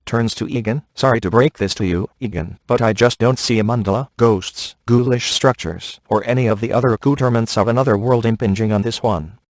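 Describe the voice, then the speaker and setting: Smug, sarcastic male ghost hunter played by a male actor for a comedy video game; deadpan wisecracks, very dry studio sound. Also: fairly low pitch, no room sound, lightly acted.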